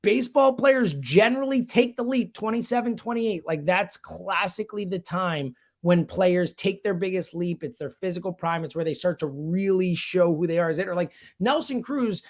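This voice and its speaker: A man's voice: sits high, normal speed, hardly any pauses. A man giving sports analysis in conversation, speaking emphatically and repeating himself to drive points home. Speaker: sports radio host as guest analyst.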